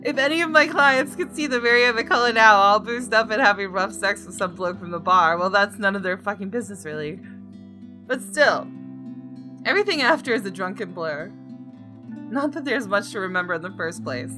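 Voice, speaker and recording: A woman's voice: mid-range voice, animated, normal speed, natural voice. A woman reading the first-person narration of a jaded, guilt-ridden woman in a visual novel, in a dramatic confessional manner. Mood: bitter. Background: guitar game music.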